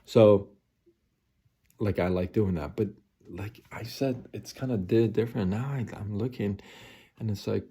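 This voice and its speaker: A man in his forties talks slowly in a very deep, mellow voice, hosting his own late-night live stream. He rambles, circles back and repeats himself, with plenty of ums and likes. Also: husky. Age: 40s. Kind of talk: late-night casual monologue.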